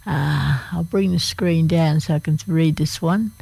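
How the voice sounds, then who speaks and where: A woman with an Australian accent and a croaky voice, presenting the weather on community radio in a hesitant, unscripted way with many fillers. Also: low-pitched, steady tone, unhurried, dark tone, no echo. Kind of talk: reading the local weather on air.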